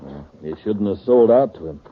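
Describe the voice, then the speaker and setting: Casting: male actor as shady sidekick crook, deep and blunt, thin vintage radio sound with light hiss. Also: lively intonation, normal speed, narrow, tinny audio, lightly acted. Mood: conspiratorial. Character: shady male henchman, saboteur's accomplice. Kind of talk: old-time radio villain acting.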